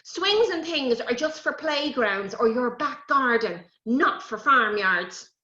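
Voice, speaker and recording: A kindly tiny hedge sprite, voiced by a woman telling a storybook tale. He speaks slowly and wisely in a high, bright voice. The recording sounds roomy and slightly dull. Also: lively intonation, heavily acted.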